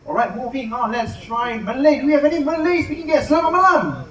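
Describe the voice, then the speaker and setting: High-pitched male show host. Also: lively intonation, quick pace, a bit bright. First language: Mandarin. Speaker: live animal show host. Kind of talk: animated stage announcing to an audience. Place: outdoor amphitheater at night. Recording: echoey amplified outdoor venue, distant, noisy.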